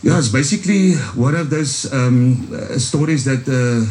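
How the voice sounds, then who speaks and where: A man's voice: medium pitch, a little slow, a little mellow. A South African man with a strong Afrikaans accent, a musician guest in a radio studio, talking casually with many ums and restarts.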